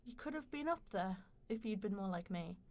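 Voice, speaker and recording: A woman playing a proud, sharp-tongued young mistress in a radio play, ambitious and defiant, with a cutting, impassioned delivery. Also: mid-range voice, animated, a little fast, lightly acted. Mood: proud, scornful.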